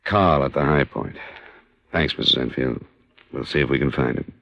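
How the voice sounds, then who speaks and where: An actor playing a steady, probing American insurance investigator in an old radio crime drama, speaking in terse, measured questions and dry narration. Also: male voice, extremely low pitch, vocal fry, very noisy. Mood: matter-of-fact. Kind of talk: 1950s radio detective drama acting. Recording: muffled vintage radio recording.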